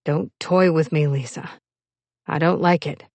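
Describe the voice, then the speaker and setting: A woman voicing a proud, frustrated young sailor in love, husky, terse and intense, in an audiobook story. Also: fairly low pitch, steady tone, moderate pace, dry recording, natural voice. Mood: intense, demanding. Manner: terse, intense, clipped words. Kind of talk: audiobook character dialogue.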